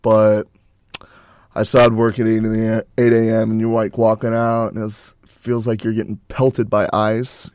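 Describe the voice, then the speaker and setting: A young man co-hosting a college radio show, telling stories in a rambling, relaxed way with plenty of fillers. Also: fairly low pitch, normal speed, clean tone. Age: young adult. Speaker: co-host. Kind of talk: casual chat.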